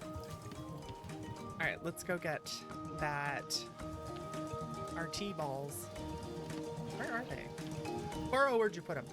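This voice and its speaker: Playful vtuber chatting on stream, middle pitch, takes it slow, game music playing. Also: big pitch swings. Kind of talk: casual livestream chat, reading game dialogue aloud. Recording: music behind the voice.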